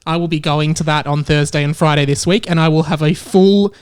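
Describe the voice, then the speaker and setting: A man's voice: fairly high pitch, fairly even intonation, fairly quick, clean tone. A young man with an Australian accent hosting a podcast in a casual, playful, joking chat. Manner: jokey, playful. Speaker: podcast host.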